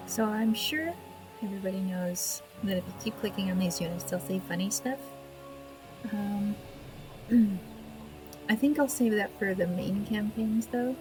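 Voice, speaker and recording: A woman's voice: mid-range voice, fairly even intonation, measured pace, rough recording. A woman let's player giving casual video game commentary with hesitant, musing asides. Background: faint game music.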